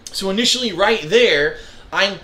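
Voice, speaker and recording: A man's voice: high-pitched, lively intonation, unhurried, bright, forceful tone. A man with a nasal, congested voice, ranting bluntly and profanely about his new home. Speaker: vlogger. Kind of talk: ranting monologue clip.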